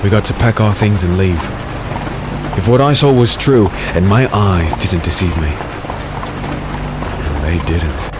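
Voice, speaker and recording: An actor playing a grim, haunted, secretive warrior in a dark fantasy audio drama, speaking tersely and dramatically. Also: male voice, low voice, unhurried, pauses often, very noisy. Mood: brooding. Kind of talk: dark fantasy audio drama, first-person narration and dialogue.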